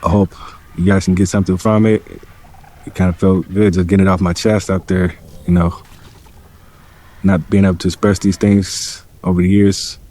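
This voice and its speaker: A man speaking slowly and quietly in a subdued, reflective confessional. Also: low voice, flat delivery. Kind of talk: personal confessional video.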